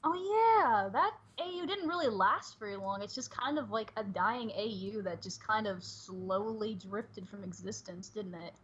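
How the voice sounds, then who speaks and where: A woman's voice: medium pitch, a little slow, few pauses, fairly bright tone, slightly rough. A woman chatting casually and earnestly as a guest on a livestream, over dull, lo-fi call audio. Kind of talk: casual chat.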